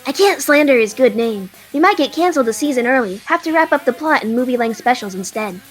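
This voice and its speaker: A woman voicing a smug, playful female dragon who quips deadpan in an audio drama. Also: high voice, fast talker. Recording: faint music behind.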